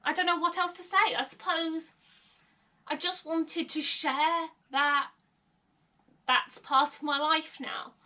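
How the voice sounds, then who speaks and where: A woman's voice: high-pitched, fairly even intonation, slow pace, long pauses, pushed and bright. A young woman vlogging candidly from her bedroom, with an occasional stutter and nervous laughs. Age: young adult. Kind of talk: personal confessional vlog. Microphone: camera mic at a short distance. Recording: slightly distant camera mic.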